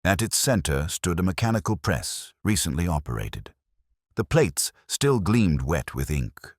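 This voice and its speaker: A man reading a scripted first-person mystery story as an audiobook narrator, in a measured, solemn, literary manner. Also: a bass voice, no echo, clean studio sound.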